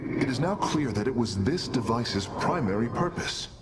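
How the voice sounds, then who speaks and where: A man's voice: medium pitch, animated, moderate pace, heavy background noise, lightly acted. A man playing a humble, scholarly alien engineer in a science fiction game, speaking in a formal, precise, earnest way. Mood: baffled, awed.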